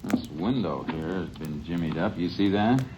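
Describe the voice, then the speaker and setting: An actor playing a terse, methodical Texas Ranger in a matter-of-fact 1950s radio drama style. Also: male voice, low voice, expressive, moderate pace, very noisy. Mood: terse, pondering. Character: laconic, methodical male Texas Ranger investigator. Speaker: lead actor and narrator. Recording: old radio recording with music.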